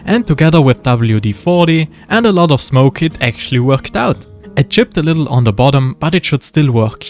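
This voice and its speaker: A man with a German accent narrates his model-building project in a relaxed, wry voice-over, slipping in dry jokes. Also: mid-range voice, normal speed. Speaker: model-building video creator. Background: faint background music.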